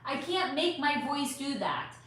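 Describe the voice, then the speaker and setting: A woman hosting a casual live stream, answering chat questions in an emphatic, rambling way with swearing, her voice a bit hoarse. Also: high voice, sing-song, unhurried, a bit bright. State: voice going hoarse. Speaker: psychic channel host reading live chat. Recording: heavily echoey room.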